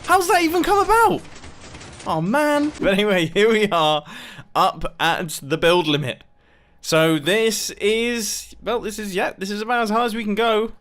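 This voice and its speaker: A young man giving casual, excitable commentary over a video game, laughing often and joking about himself. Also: sits high, unhurried. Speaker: gaming YouTuber.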